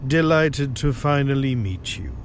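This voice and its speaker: A man's voice: mid-range voice, expressive, a little slow, slight background noise. A man playing an ancient lord of darkness in an audio drama, smug and mock-polite in his delivery. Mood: smug, taunting.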